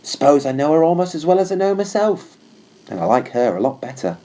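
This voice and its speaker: A man's voice: on the high side, very animated, a little fast, mellow, pitched above the performer's own voice. A man voicing a simple, sincere young cavalry trooper confiding in his horse, informal and homely, for a storybook. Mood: wistful, confiding.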